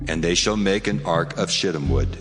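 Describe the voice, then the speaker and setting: An actor playing God, giving solemn commands in a dramatized scripture reading. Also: male voice, low-pitched, normal speed, lightly acted. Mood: solemn, commanding. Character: God speaking to Moses, solemn commanding divine voice. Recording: long reverb, music behind. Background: background music.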